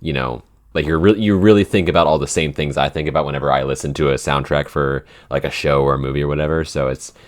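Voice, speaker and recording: A man's voice: very deep voice, steady tone, fast talker, hardly any pauses. A man co-hosting a casual Q&A chat, speaking in an enthusiastic, informal and sweary way. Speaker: podcast co-host and show creator.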